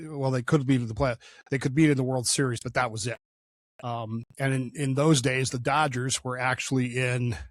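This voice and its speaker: A man's voice: medium pitch, dry recording. A man in his sixties, a show host, talking through an unscripted solo monologue in a conversational, digressive way with frequent fillers and restarts. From Oklahoma, raised in Denver.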